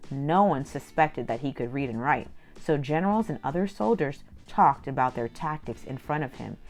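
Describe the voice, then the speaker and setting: A woman's voice: low voice, darkish tone. A woman hosting a children's storytime, reading aloud expressively and speaking directly to young listeners.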